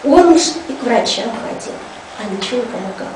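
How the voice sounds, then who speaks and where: A woman's voice: fairly high pitch, expressive, fast, lightly acted. A woman voicing a chatty, folksy school cleaning lady who tells gossipy tales to children, in colloquial language. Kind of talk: storytelling to children. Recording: echoey room, distant mic, noisy.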